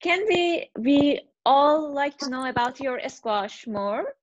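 A woman interview host whose first language is Persian, asking questions in slow, careful English over a video call. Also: high-pitched, lively intonation, no echo. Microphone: webcam on video call. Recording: dull lo-fi video call audio.

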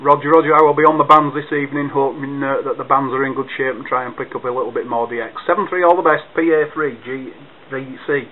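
A man's voice: medium pitch, steady tone, fast talker, hardly any pauses. A man working as an amateur radio operator, talking in clipped procedural phrases and phonetic call signs during a radio contact. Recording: narrow tinny sound with faint hiss.

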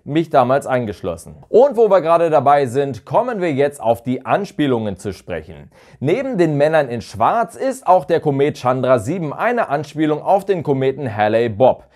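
A man's voice: mid-range voice, very animated, moderate pace, darkish tone. A man in his thirties giving an opinionated, explanatory review to camera in a semi-scripted style. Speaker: video reviewer, channel host. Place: small furnished room at home, indoors. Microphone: lapel mic.

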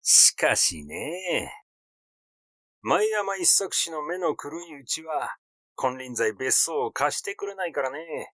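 A man voicing a rash, glib, excitable schemer in an audiobook, talking in a blunt, teasing, effusive way. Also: on the high side, slow, no echo, full character voice. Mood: sly, teasing. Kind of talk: literary audiobook character dialogue.

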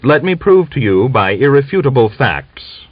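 A man's voice: mid-range voice, big pitch swings, moderate pace, slightly rough, dry recording, voice pushed up. A man voicing an earnest, anxious, formal army officer in an audiobook novel. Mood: earnest, persuasive. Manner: formal, emphatic, persuasive. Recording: dull lo-fi audiobook recording.

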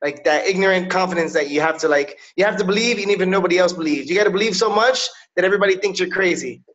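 A man in his thirties from Miami talks loosely over a webcam call, dropping "yo" and "you know what I'm saying" between thoughts. His voice sits high for a man. The audio is a little dull and lo-fi. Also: fast, fairly bright tone. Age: 30s. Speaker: MMA fighter, guest. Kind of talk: casual interview over video call. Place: indoors, small room. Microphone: video call webcam.

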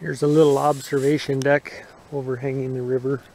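A man giving a relaxed, matter-of-fact walking tour of a riverside campsite outdoors in winter, recorded on a handheld camera. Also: medium pitch, a little fast. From United States. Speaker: travel vlogger. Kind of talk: casual walking tour narration. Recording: loud outdoor noise on camera mic.

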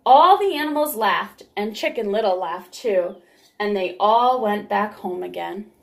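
A woman's voice: medium pitch, animated, a little slow, gravelly. A young adult woman reading a picture book aloud to children in a small room at home. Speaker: storybook reader. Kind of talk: children's read-aloud, scripted narration.

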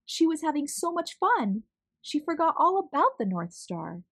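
A woman narrating a children's storybook as a read-aloud host, expressive, measured and clearly enunciated. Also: sits high.

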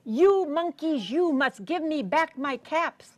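A woman playing an exasperated male cap peddler in a children's story, speaking in an emphatic, drawn-out way. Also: sits high, a bit bright, pitched above the performer's own voice. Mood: angry, scolding. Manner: slow, emphatic, scolding or hawking delivery. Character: exasperated cap peddler, a man, scolding and calling out.

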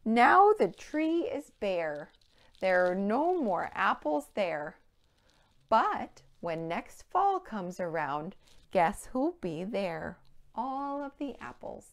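An American woman in her thirties presenting a children's storytime, speaking clearly and animatedly in simple phrases to young children. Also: medium pitch, big pitch swings, slow pace. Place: indoor children's play room.

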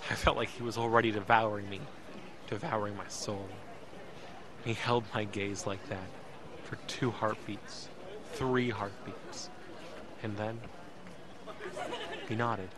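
An actor playing an earnest, emotional young man, narrating an audio drama in the first person with deliberate, dramatic pauses. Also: fairly low pitch, fairly even intonation, noisy background. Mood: tense, dramatic. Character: earnest, emotional young man telling his escape story.

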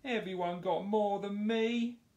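A man voicing greedy, whiny storybook wild boars with loud, drawn-out squeals. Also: high voice, steady tone, pitched above the performer's own voice. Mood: whiny wailing. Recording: roomy home echo.

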